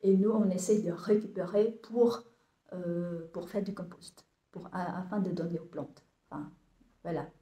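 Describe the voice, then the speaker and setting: Vietnamese-accented woman, 30s, talks slow and stops a lot, echoey room. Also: fairly low pitch, fairly even intonation, warm tone. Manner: hesitant, many fillers and restarts. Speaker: compost business owner. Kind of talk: casual talk to camera, halting.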